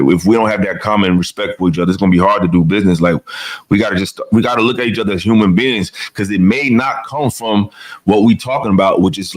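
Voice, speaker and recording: A man with a very deep, low voice speaks on a relaxed panel. He talks in a measured, reflective way and builds carefully on the points others have made. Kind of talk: casual panel discussion.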